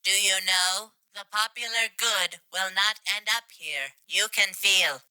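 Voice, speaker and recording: A woman's voice: medium pitch, measured pace, bright, forceful tone, smooth, no echo, poor audio. A woman's voice reading scripted game lines in a stilted, literal way. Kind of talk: flat reading of garbled translated game lines.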